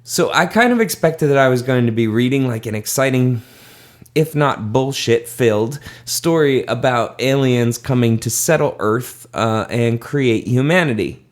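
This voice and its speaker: Male podcast host, mid-pitched, tipsy, rambling delivery with frequent laughter and fillers. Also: expressive, moderate pace. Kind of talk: casual late-night podcast chat.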